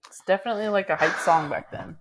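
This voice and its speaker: Calm, monotone young woman. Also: on the low side, fast, low-quality recording. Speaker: podcast co-host. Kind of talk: casual chat between friends.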